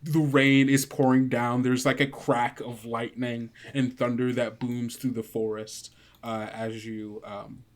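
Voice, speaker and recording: A man narrating a tabletop game as game master, informal and halting as he improvises the scene. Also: medium pitch, flat delivery.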